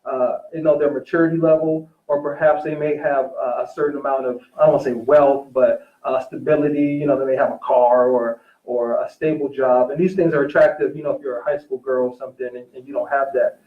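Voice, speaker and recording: A man in a casual discussion, agreeable, choosing his words in a measured, somewhat hesitant way. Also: medium pitch, fairly even intonation. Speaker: teacher, talk show guest or co-host. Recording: roomy, dull, distant-mic recording.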